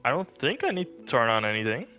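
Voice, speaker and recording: A man's voice: mid-range voice, huge pitch swings, fast, muffled recording. A man from Canada chatting casually as he hosts a game livestream. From northern Canada. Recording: faint game music behind.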